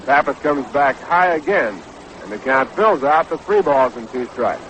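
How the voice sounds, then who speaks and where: A man's voice: a little high, sharp tone. Man calling baseball on noisy vintage radio. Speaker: baseball play-by-play announcer. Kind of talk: live radio play-by-play commentary. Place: stadium broadcast booth.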